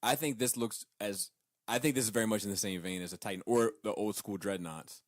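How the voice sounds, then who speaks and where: A man's voice: fairly low pitch, fairly quick, no room sound, studio quality. A man co-hosting a podcast in casual debate, explaining himself and qualifying his points as he goes. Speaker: podcast co-host.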